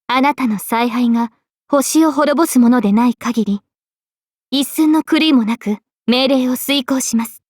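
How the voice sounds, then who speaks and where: A woman voicing an earnest, polite young mage heroine in a Japanese video game, with a clear, youthful voice. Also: high-pitched, a little slow, darkish tone, no room sound, clean studio sound. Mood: solemn, regal. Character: young girl mage, tomboyish, modest, earnest, later a solemn king. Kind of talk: Japanese game character voice lines.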